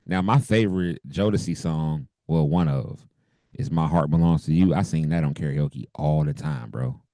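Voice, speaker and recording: Deep-voiced, hoarse man in laid-back chat. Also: fairly even intonation, moderate pace, mellow, no echo, clean studio sound. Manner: laid-back, laughs often, loose slang. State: hoarse from a cold. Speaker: podcast co-host. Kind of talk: casual chat.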